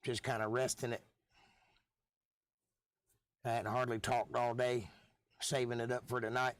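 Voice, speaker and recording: Hoarse middle-aged man chatting. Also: medium pitch, flat delivery, unhurried, dry recording, studio quality. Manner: laid-back, chatty, folksy. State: losing his voice, hoarse. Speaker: live stream host and musician. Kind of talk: casual live-stream chat with viewers.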